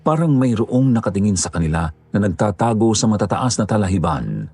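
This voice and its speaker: A man narrating a horror story in a steady, measured storyteller manner. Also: on the low side, slightly rough, faint music behind.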